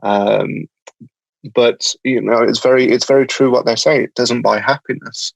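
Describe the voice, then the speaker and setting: Gravelly Birmingham-accented man, halting. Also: mid-range voice, fairly even intonation, dry recording, low-quality recording. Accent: Birmingham English. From England, Birmingham. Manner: hesitant, full of ums and restarts. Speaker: podcast guest, company founder. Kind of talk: casual interview talk.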